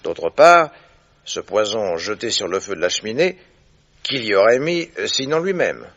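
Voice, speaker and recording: An actor playing a keen, courteous gentleman detective in a French radio drama, speaking in a deliberate, theatrical way. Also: fairly low pitch, very animated, exaggerated voice. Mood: assured, explaining. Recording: dull, muffled old radio recording.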